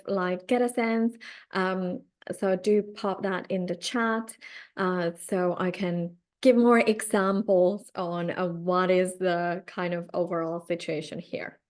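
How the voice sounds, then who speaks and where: A woman from Finland with a Finnish accent, a career coach giving a live-streamed training talk and speaking straight to her viewers in an encouraging way. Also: medium pitch. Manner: unhurried, encouraging, addresses viewers directly.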